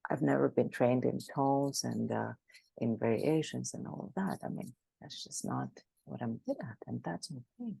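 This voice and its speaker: Woman, gravelly and husky, mellow dark tone, dry close sound, emphatic and animated. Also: rumbling low, normal speed, no room sound, low-quality recording. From Greece. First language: Greek. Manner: frequent restarts, animated and emphatic. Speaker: guest expert. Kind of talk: conversational interview.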